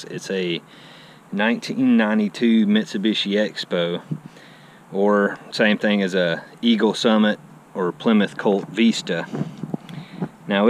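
A man, hosting a casual car vlog while walking around outdoors, chatty and enthusiastic, often saying "I mean". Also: lowish voice. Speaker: car channel host. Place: outdoor driveway beside a garage. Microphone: handheld action camera. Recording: faint outdoor background noise. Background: faint outdoor ambience.

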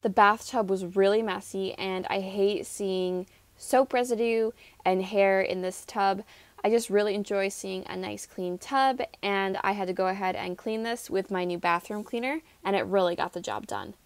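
A woman's voice: medium pitch, normal speed. A woman in her twenties with an American accent, narrating a casual home vlog in a chatty, upbeat manner. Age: 20s. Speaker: home vlogger.

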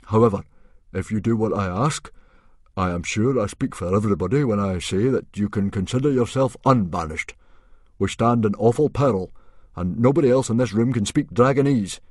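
A man voicing a blustering, awkward Viking chief who declaims loudly as if in command, for a storybook. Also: fairly low pitch, moderate pace, no echo, clean studio sound, voice pushed up, close to an everyday voice. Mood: awkward, formal. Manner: loud, declamatory, trying to sound in command.

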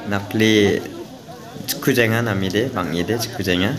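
A man's voice: fairly low pitch, fairly even intonation, a little slow, crowd noise. A man chatting casually through a vlog at an outdoor fishing event, recorded on a handheld phone. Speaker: vlogger. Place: outdoors at a crowded village fishing pond.

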